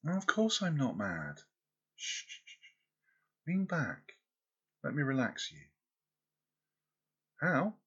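A man performing an intimate audio roleplay, speaking softly and teasingly as if close to the listener's ear. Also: a little high, huge pitch swings, takes it slowly, long pauses, breathy edge, dull audio.

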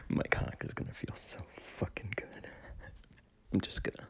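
A man playing a domineering, menacing lover in intimate erotic audio roleplay, murmuring in halting phrases with a breathy, husky voice. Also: fairly bright tone, natural voice. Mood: menacing, teasing. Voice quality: breathy, husky, gravelly whisper.

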